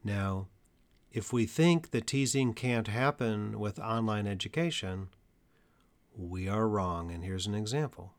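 A man with a fairly low voice explains his points slowly and carefully to parents and teachers. He speaks as a therapist, leaning on deliberate pauses to stress key ideas. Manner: measured, deliberate delivery with emphatic pauses. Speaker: stuttering therapist. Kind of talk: educational talk to parents and teachers.

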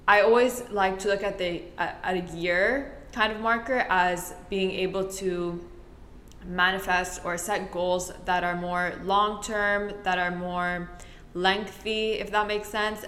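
Chatty woman podcaster, low voice, roomy sound. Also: fairly bright tone, slight background noise. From Middle East. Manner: chatty, rambling, self-correcting. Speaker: podcast host. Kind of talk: casual solo podcast chat. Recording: roomy echo.

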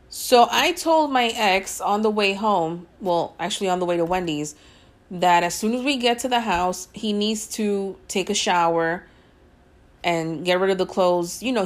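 A young woman of Guyanese and Puerto Rican family, hosting a podcast and telling a personal story in a casual, exasperated way full of fillers. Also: on the low side. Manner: conversational, frequent fillers, exasperated asides. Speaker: podcast host.

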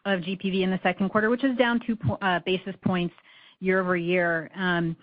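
A woman with a fairly low voice gives businesslike answers as a finance executive. She explains in a measured way with brief hesitations, over dry, slightly dull call audio. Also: fairly even intonation, no room sound. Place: conference phone call.

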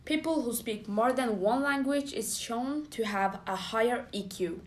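A young woman from Somalia co-hosting a student podcast, reading explanations with short pauses. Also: fairly high pitch. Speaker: podcast co-host. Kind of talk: student podcast, partly read.

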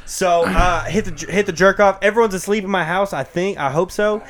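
A man's voice: sits high, a little fast, a bit bright. A man in his twenties telling a crude story in casual podcast chat, swearing and laughing often. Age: 20s.

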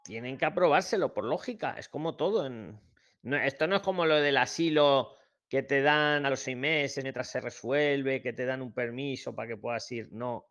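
A man from Spain with a bright, forward voice and a mid pitch. He hosts an unscripted advice call-in in a colloquial way, jumping in and steering the speakers. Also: moderate pace. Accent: Spanish from Spain. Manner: colloquial, interjecting, directing speakers. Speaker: host of a live advice call-in. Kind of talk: informal unscripted group discussion.

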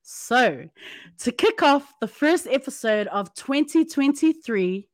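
A woman hosts a podcast with an upbeat, chatty manner, laughing easily and tossing in casual slang. Her voice sits a little high, and the sound is close and dry with no room around it. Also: animated, takes it slowly, no room sound. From Samoan community in New Zealand. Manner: upbeat, laughs often, shout-outs and slang. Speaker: podcast host. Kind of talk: warm interview chat.

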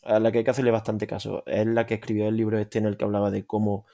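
A man with a Spanish accent co-hosts a film podcast in a casual, crude way, sarcastic and full of swearing. His voice sits low, with a gravelly, fried edge. His tone is dark and mellow. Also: flat delivery, fast talker. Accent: Spanish from Spain. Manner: sarcastic, profane, rambling rants. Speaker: podcast co-host.

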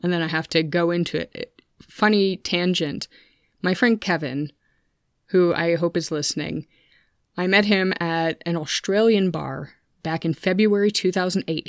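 A woman's voice: fairly low pitch, dry recording, studio quality. A woman in her thirties with an American accent, telling personal stories in a candid, introspective, conversational way. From United States. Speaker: podcast host. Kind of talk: reflective personal storytelling.

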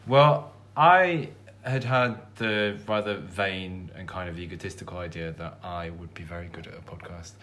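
A man's voice: sits low, moderate pace, hardly any pauses, fairly bright tone, a little hiss or hum. A man from England with a Hull accent, co-hosting a casual podcast, rambling and self-deprecating, teasing his partner. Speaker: podcast co-host. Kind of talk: casual chat.

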